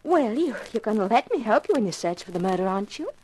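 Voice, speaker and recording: A coy, animated radio flirt. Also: female voice, medium pitch, quick pace, breathy edge. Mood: flirtatious. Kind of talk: 1950s radio drama acting. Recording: noisy vintage radio recording with clicks.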